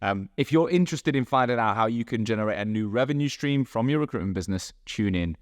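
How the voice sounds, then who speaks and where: A man's voice: fairly low pitch, lively intonation, fast talker, dry recording. A man from England with a Manchester accent, hosting a casual podcast interview and chatting freely. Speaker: podcast host. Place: room in Dubai.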